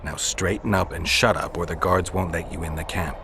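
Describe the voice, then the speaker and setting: A stern monster hunter for hire in a video game. His low voice has a hard, forceful edge and stays dry and clipped, over faint background noise. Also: fairly quick, gravelly. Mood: exasperated. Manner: terse, deadpan.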